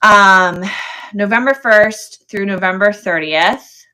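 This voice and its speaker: A woman's voice: medium pitch, slow, sharp tone, clean tone, low-quality recording. A woman hosting a casual live sale stream, chatting with viewers and thinking aloud as she goes. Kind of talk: casual livestream chat with viewers.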